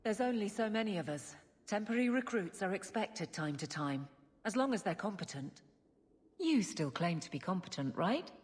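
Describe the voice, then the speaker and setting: An actress playing a principled, no-nonsense city guard in a video game, with a brisk manner. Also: female voice, medium pitch, animated, a little mellow, lightly acted. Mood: firm, businesslike.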